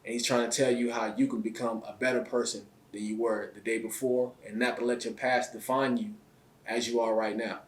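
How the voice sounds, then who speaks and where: A man hosting an interview show, opening with loud hype and asking casual questions in street slang. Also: medium pitch, fairly quick. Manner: loud hyped opener, then relaxed street slang. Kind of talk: casual hip-hop interview intro and questions. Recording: roomy, slightly distant mic with faint noise.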